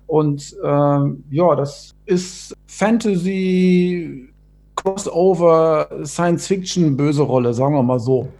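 High-voiced man, slow and deliberate. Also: warm tone. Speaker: musical performer. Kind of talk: informal interview talk.

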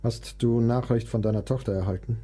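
An actor playing a proud, wounded 19th-century composer in a German radio play, with a theatrical, formal literary delivery. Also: male voice, medium pitch, fairly even intonation, a little fast, dark tone, breathy edge, natural voice. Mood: formal, restrained.

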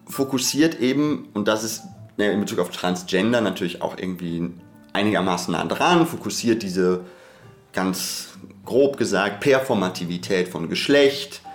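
Podcast host: man with a lowish voice, thinking aloud in abstract terms, halting and meandering, faint music underneath. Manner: hesitant, digressive, searching for words. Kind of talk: reflective, theoretical podcast talk.

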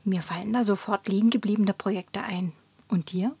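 A woman's voice: mid-range voice, fairly even intonation, normal speed, warm tone, breathy edge, dry recording. A woman playing a thoughtful social media poster who puts questions to followers in a radio collage.

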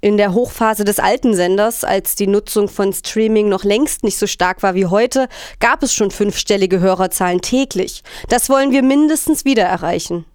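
A woman's voice: mid-range voice, moderate pace, hardly any pauses, darkish tone, clean tone, no echo, studio quality. A woman reading scripted quotations for a news programme.

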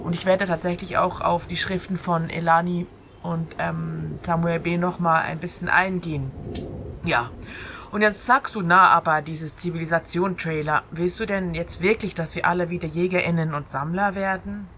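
Woman radio host, lowish voice, informal, conversational delivery, clear ambient noise from an open window. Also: steady tone, normal speed, sharp tone. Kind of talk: informal monologue on free radio, with read-out passages. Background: ambience through an open window.

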